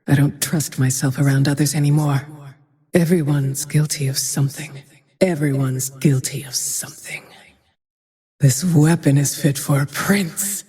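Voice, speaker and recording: Grim female avenger archer for a fantasy game: slow, dark-toned, merciless and composed, in long reverb. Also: sits low, exaggerated voice. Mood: cold resolve, then menacing whisper. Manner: cold, brooding, measured, often dropping to a breathy whisper. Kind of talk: dramatic video game voice lines.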